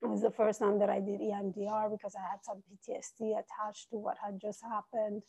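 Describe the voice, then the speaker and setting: Woman guest raised in Iran and Italy, gravelly mellow voice, earnest and reflective storyteller. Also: mid-range voice, flat delivery, a little fast, low-quality recording. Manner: earnest, reflective, soft-spoken. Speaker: coach, podcast guest. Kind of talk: personal storytelling in interview.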